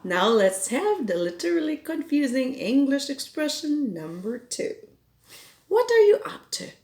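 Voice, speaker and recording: A woman's voice: high-pitched, big pitch swings, a little mellow. A Filipino woman whose first language is Cebuano, teaching English expressions in a careful, explaining lesson. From Philippines. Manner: slow, careful explaining with quoted example phrases. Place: home in Ireland.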